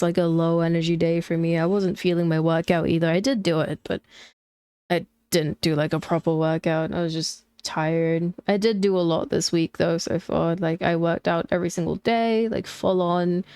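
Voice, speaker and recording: A woman's voice: fairly low pitch, steady tone, normal speed, darkish tone, smooth, no echo, clean studio sound. A young woman with a posh British accent tinged with American, chatting casually and laughing often while streaming a game. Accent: posh British with slight American twinge. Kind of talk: casual chat while gaming.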